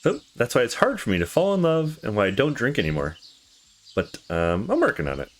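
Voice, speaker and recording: A man voicing a troubled, candid young visual novel character who confides past heartbreak in a halting, confessional way. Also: mid-range voice, huge pitch swings. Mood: subdued, candid.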